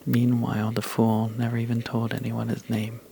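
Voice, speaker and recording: A man telling a sleepy ASMR bedtime story in a soft, breathy half-whisper, halting and drowsy, with long pauses. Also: medium pitch, completely flat delivery, a little hiss or hum.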